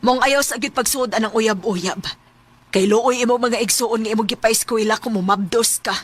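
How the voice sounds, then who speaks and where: A woman's voice: on the high side, normal speed, slight background noise, close to an everyday voice. A woman with a Cebuano Filipino accent playing a stern, nagging middle-aged mother in a radio drama. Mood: stern, scolding. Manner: scolding, stern.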